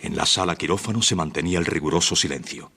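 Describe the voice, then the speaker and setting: A man's voice: deep voice, fast talker, very noisy. A man narrating a suspense radio play in a dramatic, scripted style.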